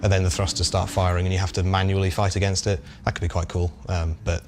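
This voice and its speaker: A man's voice: sits low, level tone, fast. A man giving casual explanations as a developer, thinking aloud with some hesitation. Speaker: game developer guest answering questions. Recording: clear vehicle and ambient noise behind.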